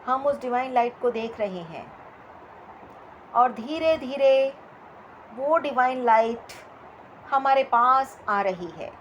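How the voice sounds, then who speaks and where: A woman leading a guided meditation as instructor, with soothing, repetitive phrasing and pauses between short instructions. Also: high voice, animated, dull audio, a little hiss or hum.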